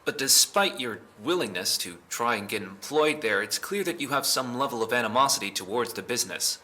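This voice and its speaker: A man playing a suspicious police interrogator in an audio drama, measured and pointed as he challenges the listener. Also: medium pitch, lively intonation, bright, forceful tone. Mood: accusing.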